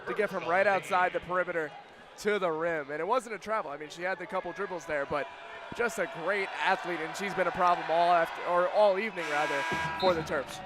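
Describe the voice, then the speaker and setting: Male basketball color commentator, high pitch, enthusiastic, conversational analysis, loud arena noise. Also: quick pace. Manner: enthusiastic, informal, projecting over noise. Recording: loud background noise of a gym. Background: arena noise, bouncing ball.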